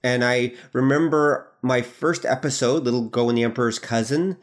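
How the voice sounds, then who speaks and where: A man who is a podcast guest, telling stories in a relaxed, self-deprecating way during casual chat. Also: mid-range voice, moderate pace.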